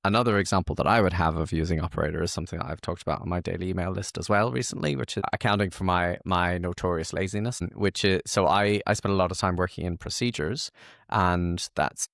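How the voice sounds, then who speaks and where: Low, creaky-voiced man hosting casually. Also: fairly even intonation, fast talker, a bit bright, no echo, studio quality. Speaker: host. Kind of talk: casual conversational interview.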